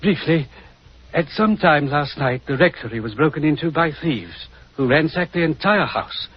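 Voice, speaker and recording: An actor playing a fussy, apologetic middle-aged country rector, flustered and formal, in 1940s radio acting style. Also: male voice, fairly high pitch, lively intonation, normal speed, slight background noise, lightly acted. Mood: agitated. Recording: dull, muffled 1940s radio recording.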